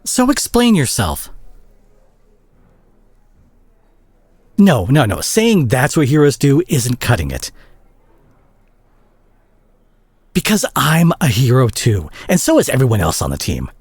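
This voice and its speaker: A man playing a concerned, exasperated superhero scolding a reckless teammate in a dramatic audio roleplay, husky and breathy, with halting, emphatic pauses. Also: mid-range voice, wildly swooping pitch, no room sound, heavily acted. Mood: confrontational.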